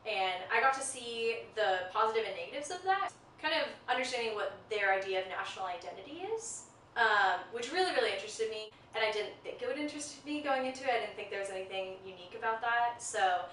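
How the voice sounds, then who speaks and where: A young American woman, a student in her twenties, speaks earnestly and thoughtfully, often pausing on um and like. Her voice is picked up from some distance in an echoey room, with a faint hum of ambience behind her. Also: fairly high pitch, lively intonation, fairly bright tone. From United States. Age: 20s. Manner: earnest, reflective, with frequent ums and likes. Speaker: university student. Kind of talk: recorded student interview.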